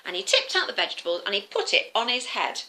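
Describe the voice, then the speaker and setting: Animated, bright-voiced woman telling children's stories. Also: mid-range voice, quick pace, low-quality recording. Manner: animated, addressing listeners with questions and simple explanations. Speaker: children's storyteller, former teacher. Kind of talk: interactive storytelling for young children.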